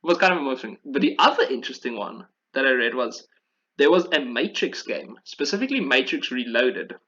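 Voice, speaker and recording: A man's voice: medium pitch, expressive, brisk, bright, forceful tone, muffled recording. A man chatting casually and enthusiastically about video games in an informal way, as a podcast host.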